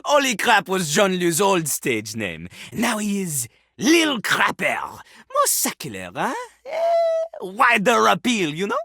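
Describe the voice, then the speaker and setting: A woman's voice: mid-range voice, wildly swooping pitch, takes it slowly, gravelly, pushed and bright, dry recording, studio quality, heavily acted. A woman voicing a boastful, vulgar male French rapper toilet in a video game, with a French accent and a pompous, theatrical delivery that slips into rap. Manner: pompous, refers to himself in the third person.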